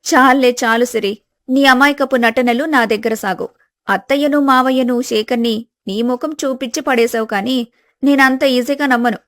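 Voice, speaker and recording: Haughty daughter-in-law acted by a woman, sharp-tongued, suspicious, highish voice, dry close sound, family story. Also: steady tone, moderate pace, dry recording. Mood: accusing. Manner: accusing, sarcastic. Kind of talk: dramatized village family story.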